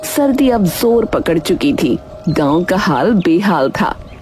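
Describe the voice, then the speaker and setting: A woman's voice: lowish voice, very animated, slow pace. A woman reading scripted story narration in a storyteller's style. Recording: music behind the voice.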